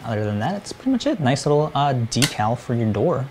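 A man co-hosting a casual live stream from a home workshop, easygoing and steady as he runs through announcements. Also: mid-range voice, fairly quick, background noise. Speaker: co-host.

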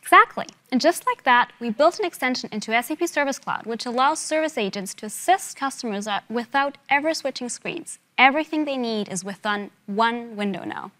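A woman's voice: on the high side, expressive, a little fast, few pauses, pushed and bright. A woman in her thirties giving a rehearsed product demonstration as a presenter at a company keynote.

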